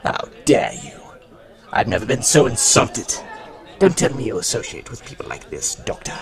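A man's voice: medium pitch, wildly swooping pitch, normal speed. A man playing a haughty alien dignitary. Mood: offended. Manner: haughty, easily offended. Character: proud touchy male alien mesomorph dignitary.

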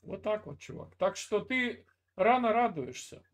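An older man with a notably high voice lectures and scolds in a heated video chat. He talks into an earphone cable mic from a room at home, often cutting in to finish his point. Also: animated, a little slow. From Russia. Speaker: video chat channel host. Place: indoor room, video call.

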